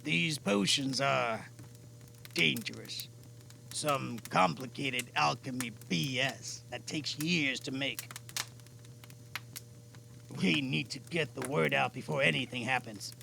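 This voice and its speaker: A man playing a weary, cautious older mentor in an amateur audio drama, speaking in a measured, grave, gravelly way. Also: mid-range voice, pushed and bright, full character voice. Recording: noisy amateur mic with clicks.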